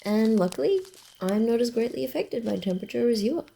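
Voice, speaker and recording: A woman voicing a wary, dryly teasing vampire in a soft ASMR roleplay, often dropping to a whisper, with a grumbling, hesitant manner. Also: a little high, expressive, fast talker, creaky, a little hiss or hum.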